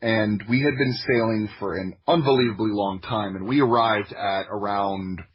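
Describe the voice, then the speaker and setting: A man's voice: lowish voice, moderate pace, breathy edge, muffled recording. An American man casually telling personal anecdotes in a wry, self-deprecating way. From Florida, United States. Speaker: podcast guest, storyteller.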